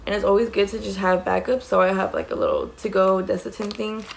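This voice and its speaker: Raspy young woman, gravelly fry, rambling chatty vlog, faint hiss. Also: medium pitch, level tone, fairly quick. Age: young adult. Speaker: mom vlogger. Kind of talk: casual show-and-tell vlog. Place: small indoor room against a plain wall.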